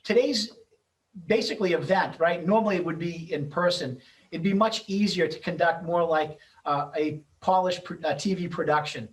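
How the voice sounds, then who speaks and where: Higher-pitched man hosting, slightly distant mic. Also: moderate pace. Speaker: event host and moderator. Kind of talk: semi-formal event introduction, loosely scripted.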